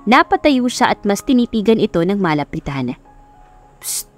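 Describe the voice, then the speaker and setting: A woman's voice: mid-range voice, very animated, brisk. A woman reading a scripted love story as an audiobook narrator. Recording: music under the voice.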